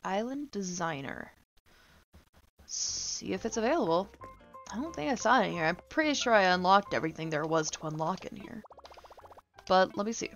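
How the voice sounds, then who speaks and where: A woman's voice: medium pitch, animated, normal speed, long pauses, muffled recording. A woman giving casual gameplay commentary, thinking aloud and muttering to herself, with the odd casual swear. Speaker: game streamer. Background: game music.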